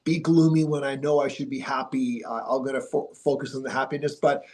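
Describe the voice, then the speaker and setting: A man's voice: mid-range voice, steady tone, a little fast, a little mellow. A man hosting a sports podcast from a TV studio, chatting casually with a broadcaster's polish while stuffy from a cold. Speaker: podcast host.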